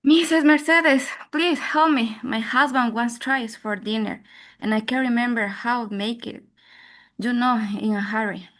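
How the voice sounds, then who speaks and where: A woman's voice: fairly high pitch, a little slow, fairly bright tone. A woman playing a vain, flustered young wife in an amateur drama, pleading and anxious.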